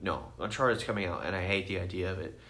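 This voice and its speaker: A man's voice: low voice, fast, fairly bright tone. A man co-hosting a podcast, talking casually in a blunt, opinionated, sweary way. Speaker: podcast co-host. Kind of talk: casual chat.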